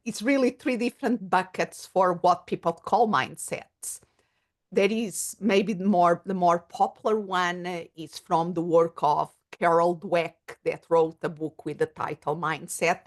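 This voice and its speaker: Woman with a Portuguese accent, low voice. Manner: thoughtful, searching for words with many fillers. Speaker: guest psychologist and coach. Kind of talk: casual interview conversation.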